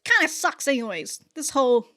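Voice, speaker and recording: High-voiced woman giving casual reactions. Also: sing-song, normal speed, pushed and bright. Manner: slangy, frequently swearing. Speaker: reaction video host.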